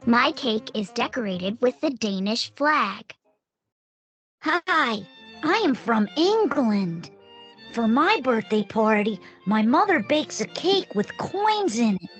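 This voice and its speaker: Slow singsong lady reading a kids video, high and bouncy. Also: sing-song, a bit bright, soft background music. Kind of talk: scripted narration for a children's video.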